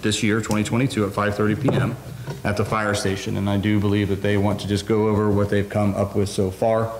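A man giving a quick, businesslike report to a city council, with many fillers. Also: fairly low pitch, level tone. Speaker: city administrator. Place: wood-paneled council room, indoors. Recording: roomy meeting hall with faint noise.

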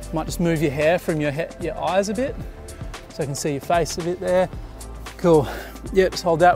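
A man in his thirties, a photographer, casually explaining his shots while giving directions to a model outdoors. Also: a little high. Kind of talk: casual behind-the-scenes commentary. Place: outdoors on a beach jetty.

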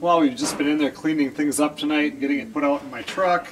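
A man's voice: fairly high pitch, a little fast, background noise. Middle-aged man, easygoing outdoor vlogger. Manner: relaxed, matter-of-fact chatter. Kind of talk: casual outdoor vlog narration. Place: outdoors at a lakeside camp in the woods.

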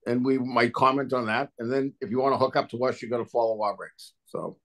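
A man's voice: medium pitch, steady tone, a little fast, fairly bright tone. A man with a mid-range voice speaks at a virtual public hearing. He explains technical review work informally, in plain colloquial language, as an official would. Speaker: water and sewer agency official at a hearing. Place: remote video call.

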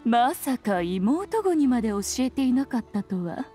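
Anime game mystic: old-fashioned, knowing, high register, archaic turns of phrase. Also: female voice, very animated, a little slow, mellow, soft background music. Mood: amused, knowing.

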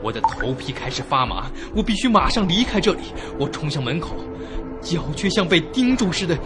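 A man acts a terrified young survivor telling his horror story in a radio drama. His voice is high and swoops widely, breathless and dramatic. Music plays behind him. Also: sing-song, a little fast. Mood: panicked. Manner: breathless, dramatic, swinging from hushed to panicked. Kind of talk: Chinese suspense radio drama acting and first-person narration. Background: dramatic background music.

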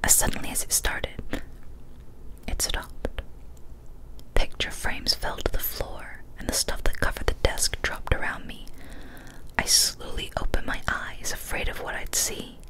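A young woman in a breathy, unvoiced whisper reads a horror story in ASMR style, hushed and deliberate, close to the microphone. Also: low-quality recording. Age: young adult. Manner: hushed, deliberate, short phrases with pauses. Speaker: ASMR storyteller, channel host. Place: small indoor room, decorated home studio. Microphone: large studio microphone, very close.

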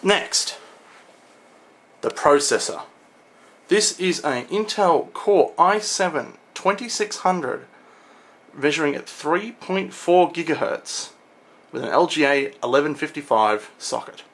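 A man's voice: on the high side, animated, slow pace, fairly bright tone. Young man explaining things casually. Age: young adult. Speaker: tech vlogger. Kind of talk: casual product showcase to camera. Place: small home room, indoors.

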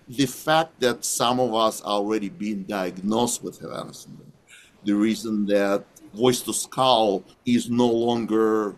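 A middle-aged man co-hosting a podcast over a video call, talking in an earnest, measured way from a small room. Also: fairly low pitch. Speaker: podcast co-host. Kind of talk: casual video-call chat.

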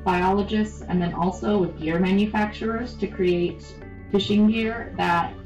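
A woman's voice: mid-range voice, steady tone, measured pace, a little mellow. A young woman, a writer and historian, explaining history in an interview. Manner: explanatory, informative. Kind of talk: interview answers. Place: indoors, in a cluttered workroom. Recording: roomy and dull from a distant mic, with music.

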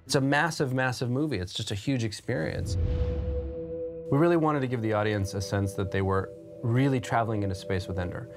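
A man's voice: mid-range voice, fairly quick. A man speaking in a promotional film interview. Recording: music behind voice.

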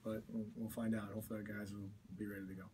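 A man in his fifties, a head coach giving a postgame interview in a small office, talking haltingly with many fillers and restarts. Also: on the low side, flat delivery. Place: small cluttered office, indoors. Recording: distant mic with background noise.

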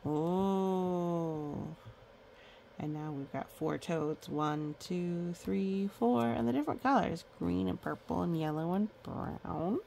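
A woman storyteller reads to children at an unhurried pace, with a noticeably creaky edge to her voice. She is playful, dropping in little comments and counting in a sing-song way. There is some background noise on the recording. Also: fairly low pitch, a little mellow. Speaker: children's book read-aloud storyteller. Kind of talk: picture book read-aloud with chatty asides to children.

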